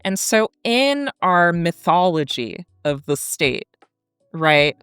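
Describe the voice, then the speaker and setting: An American woman in her thirties telling a story as an academic guest in an articulate, deliberate, conversational way. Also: on the low side, very animated, fairly bright tone, clean tone, no echo, soft background music. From Kansas, United States. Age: 30s.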